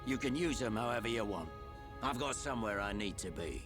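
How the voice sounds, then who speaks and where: An actor playing a gruff, roguish middle-aged veteran soldier in a game, with a British accent and a colloquial, teasing way of talking. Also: male voice, on the low side, animated, brisk, music in the background, lightly acted. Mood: brisk, dismissive. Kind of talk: video game cutscene acting.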